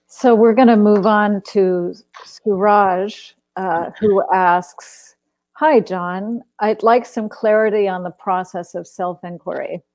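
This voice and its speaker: A woman moderating an online stream, reading out a viewer's question in measured, careful phrases. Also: mid-range voice, steady tone, darkish tone, no echo.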